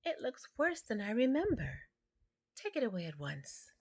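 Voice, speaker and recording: Woman reading as a disapproving, higher-voiced madame. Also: sing-song, normal speed, darkish tone. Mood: dismissive. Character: complaining, dismissive lady of the house. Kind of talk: storybook character voice.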